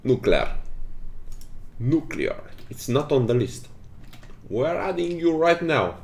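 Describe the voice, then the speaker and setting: A man's voice: a little high, big pitch swings, slow, a little mellow. A young man whose first language is not English, hosting a casual livestream and reading the chat aloud with lots of laughing. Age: 20s. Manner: giggly, reads chat aloud, frequent laughs. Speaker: game developer hosting a stream. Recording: roomy echo.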